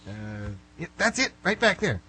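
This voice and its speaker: A man's voice: on the high side, a little hiss or hum, exaggerated voice. A man acting a flustered, middle-aged guy who nervously rambles and corrects himself in an amateur radio drama. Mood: defensive. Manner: nervous, halting, self-correcting, excitable. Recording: slightly rough amateur radio recording.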